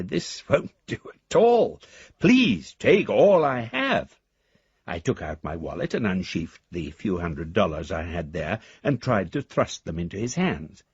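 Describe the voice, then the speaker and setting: Raspy husky money-mad speculator in a radio play, florid and theatrical, pitch swinging about, very dry sound. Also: male voice, a little mellow, dry recording, dull audio, exaggerated voice. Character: newly rich, half-mad male speculator, intense and disillusioned. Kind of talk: dramatic radio monologue, letters read aloud.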